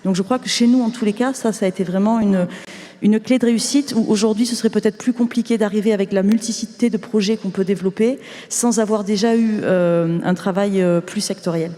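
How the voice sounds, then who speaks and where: Mellow woman panelist, reverberant room. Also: medium pitch, fairly even intonation, brisk. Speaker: panelist from a cultural organisation. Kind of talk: spontaneous panel testimony. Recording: reverberant hall sound.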